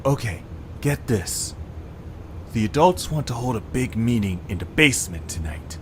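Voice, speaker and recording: A man's voice: medium pitch, very animated, normal speed, a bit bright, a little hiss or hum. Man voicing an eager young cartoon creature, urgent. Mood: conspiratorial. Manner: urgent, conspiratorial. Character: eager young male cartoon creature passing on secret plans.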